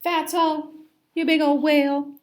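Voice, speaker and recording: A woman's voice: sits high, level tone, unhurried, voice pushed up. A woman voicing mean teasing bullies in a picture book, with singsong name-calling. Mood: taunting. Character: teasing fishermen casting insults as hooks.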